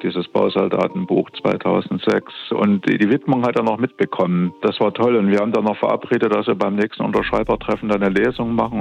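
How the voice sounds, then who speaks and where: A man's voice: fairly low pitch, gravelly, darkish tone, lo-fi sound. A man in his fifties from Dresden, speaking thoughtfully with fillers and restarts as a guest in a phone interview. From Dresden, Germany. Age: 50s. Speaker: academy director, interview guest. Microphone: telephone.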